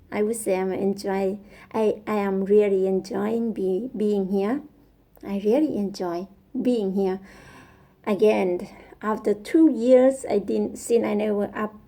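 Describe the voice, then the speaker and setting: A woman with a Thai accent talks slowly through her thoughts in English, her second language. Her voice is mellow and gravelly with a lot of vocal fry. She halts and restarts often as she searches for words. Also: mid-range voice. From Thailand. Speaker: vlogger. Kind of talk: casual English speaking practice.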